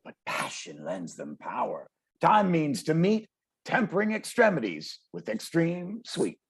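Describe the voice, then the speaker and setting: A man playing a brash, streetwise poetic performer with a gravelly, husky voice, swaggering and declamatory in a table read. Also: fairly high pitch, wildly swooping pitch, a little slow, heavily acted. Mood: solemn, declamatory. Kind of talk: dramatic table read, slang and verse. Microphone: video call. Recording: video call.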